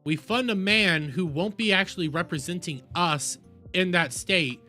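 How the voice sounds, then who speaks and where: A man giving a casual, exasperated political rant as a streamer, halting and repeating words, sometimes swearing. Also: sits high, pushed and bright, soft background music. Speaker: streamer, host.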